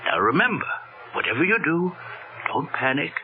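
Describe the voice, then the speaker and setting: An actor playing an earnest, avuncular doctor in an old radio drama, coaxing and reassuring. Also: male voice, fairly high pitch, big pitch swings, normal speed, a little hiss or hum. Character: male doctor, earnest, reassuring psychological counsellor. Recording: tinny, narrow old radio recording.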